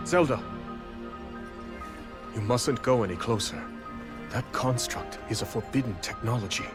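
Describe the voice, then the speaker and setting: A noble, cautious king voiced by a man in a game cutscene. He is grave, stately and formal, with a mid-pitched voice. Music is clear behind him. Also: a little slow, natural voice. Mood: alarmed. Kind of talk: video game cutscene acting.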